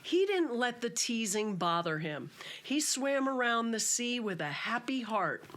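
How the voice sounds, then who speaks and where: A woman reading a children's story aloud as its author, in a simple, measured narrating style. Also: on the high side, fairly bright tone.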